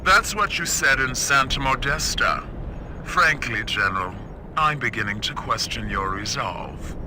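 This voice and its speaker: A man playing a stern, skeptical government agent in a video game, measured and cutting. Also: medium pitch, animated, background noise, close to an everyday voice. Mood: cold, skeptical. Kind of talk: video game cutscene acting.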